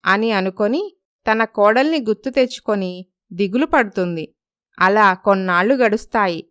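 A woman's voice: mid-range voice, expressive, moderate pace, fairly bright tone, clean tone, no echo, studio quality. A woman reading scripted narration for a moral story.

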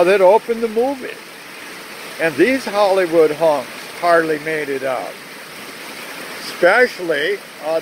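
An older man narrating a rambling video outdoors among trees, talking in a halting way with many fillers, recorded on a handheld phone. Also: sits high, background noise. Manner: slow, halting, full of uh fillers. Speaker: vlogger. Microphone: phone at arm's length.

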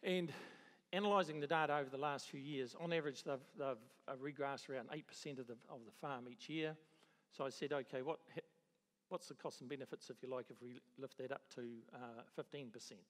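Middle-aged New Zealand man, mid-pitched, presenting in a reverberant hall, stop-start and wry. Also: fairly even intonation. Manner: halting, many restarts and fillers, dry understatement. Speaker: agricultural economist presenting at a conference. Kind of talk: conference presentation. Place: large conference hall. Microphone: handheld microphone.

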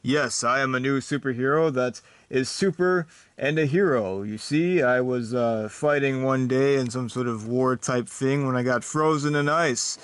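A boastful, clueless superhero, voiced by a man rambles on with bumbling confidence. He speaks near an everyday man's middle pitch. The recording is dry and close. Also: moderate pace, clear, resonant tone, no echo, lightly acted.

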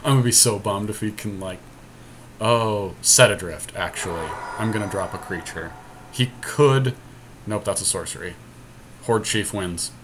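A man giving casual game commentary with a friend, teasing and thinking his moves out loud. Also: lowish voice, normal speed, background noise. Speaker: podcast host playing an online card game.

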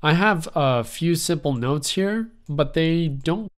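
A man's voice: a little high, takes it slowly, faint music behind. Stuffy young man explaining synth patches. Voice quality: stuffy, congested nasal tone. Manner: relaxed, rambling explanation with frequent fillers. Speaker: music production tutorial host. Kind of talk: casual screen-recorded software tutorial.

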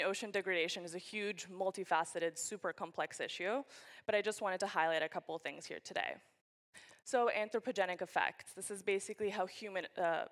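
A woman's voice: on the low side, fairly bright tone. A young woman from Canada giving a keynote talk on a conference stage, speaking into a handheld microphone in a large hall.

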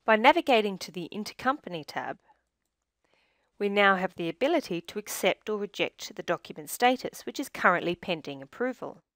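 A woman reading scripted software tutorial narration in a measured, instructional manner, recorded as a close-miked voice-over. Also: mid-range voice, animated, no echo.

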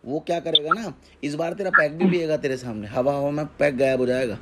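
A man's voice: fairly high pitch, steady tone, a little fast, a bit bright, slight background noise. A man speaking as a guru advising a caller in casual phone talk, giving instructions and often checking he is understood. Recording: phone call recording.

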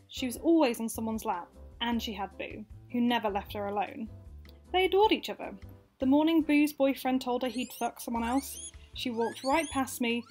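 High-voiced young woman, wry monologue. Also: a little mellow. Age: young adult. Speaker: actress narrating a monologue. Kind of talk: confessional radio play monologue, acted narration.

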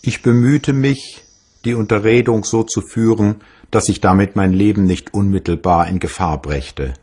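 An actor voicing a grave physicist recounting his wartime past in a measured, formal documentary reading. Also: fairly low pitch, darkish tone, slightly rough. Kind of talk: documentary dramatized reading of memoirs.

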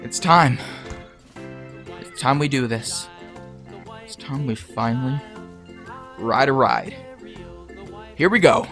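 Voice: mid-range voice, slow, pauses often, fairly bright tone, faint music behind. An actor playing a nervous yet cocky, foul-mouthed oddball in a comedic audio drama, stammering and swinging into outbursts. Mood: resolute.

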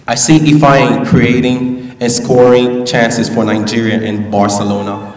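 A man's voice: mid-range voice, little pitch movement, a little slow. A young man delivering a graduation speech from a podium, with playful asides to the audience. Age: young adult. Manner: playful, joking asides to the crowd. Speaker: valedictorian. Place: large school hall at a podium. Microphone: podium mic, heard from a distance. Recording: echoey hall, distant mic.

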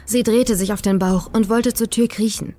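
A woman reading a horror story as a scripted, dramatic narration, measured and suspenseful. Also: mid-range voice, steady tone, warm tone. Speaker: audiobook narrator. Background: faint atmospheric music.

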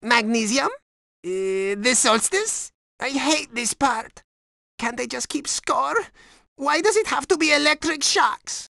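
A man's voice: very high-pitched, expressive, takes it slowly, gravelly, bright, forceful tone, no echo, clean studio sound, exaggerated voice. A man playing a nervous quiz contestant in a game, whining and hesitant. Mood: anxious.

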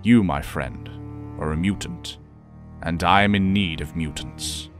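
An actor playing a calm, authoritative professor and mentor in an audio drama, speaking in a measured, formal way. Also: male voice, sits low, soft background music. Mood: measured, persuasive.

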